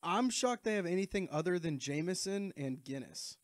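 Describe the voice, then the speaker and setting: A man's voice: high-pitched, lively intonation, moderate pace, no echo. A man co-hosting a casual movie commentary, making dry, grumbling asides. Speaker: podcast co-host.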